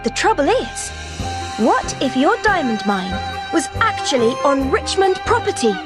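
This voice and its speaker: A woman playing a poised, confident young British detective in a game, explaining in measured, formal sentences. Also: high-pitched, big pitch swings. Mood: poised, explanatory. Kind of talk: scripted game voice acting. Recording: loud music under the voice.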